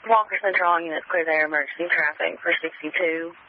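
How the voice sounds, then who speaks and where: A man's voice: fairly high pitch, steady tone, fast. A male police dispatcher repeating back updates and directing units over the radio. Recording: muffled scanner radio.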